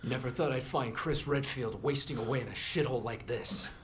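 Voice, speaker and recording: An actor playing a determined, loyal young soldier in a video game, with an intense, insistent manner. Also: male voice, mid-range voice, fairly quick, very noisy, close to an everyday voice. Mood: low, pointed. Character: determined, loyal young male soldier confronting his captain. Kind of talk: cinematic video game acting.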